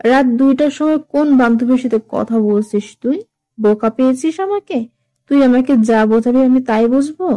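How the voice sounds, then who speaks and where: Woman acting a high-pitched, scolding, suspicious mother. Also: moderate pace, no room sound, natural voice. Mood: angry, suspicious. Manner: sharp, interrogating, reproachful. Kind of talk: Bengali audio story acting.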